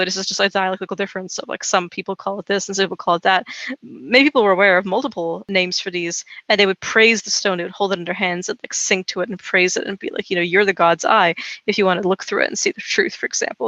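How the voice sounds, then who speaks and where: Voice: medium pitch, pushed and bright, no room sound, rough recording. A podcast co-host whose first language is Serbo-Croatian, chatting casually about folklore in a rambling way, full of "you know" and "right?".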